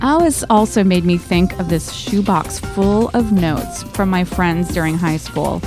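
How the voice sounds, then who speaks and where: A woman's voice: lowish voice, unhurried, music in the background. Woman hosting a casual book podcast. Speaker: podcast co-host. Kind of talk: casual book club chat, reading listener letters.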